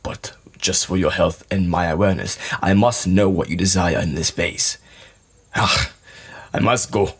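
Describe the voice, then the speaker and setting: A man playing an earnest, dutiful young son in an audio drama, with a hesitant, deferential way of speaking and a slightly husky voice. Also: sits low, unhurried. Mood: concerned, respectful.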